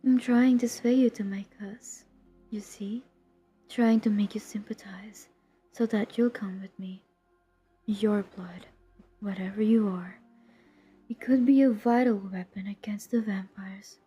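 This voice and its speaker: A woman playing a centuries-old, guilt-ridden but determined vampire hunter in an intimate audio roleplay, speaking in a hushed, confessional, breathy voice that often drops to a whisper. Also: medium pitch, fairly even intonation, faint music behind. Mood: apologetic, persuading. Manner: hushed, confessional, halting, earnest. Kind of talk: intimate dramatic audio roleplay addressed to the listener.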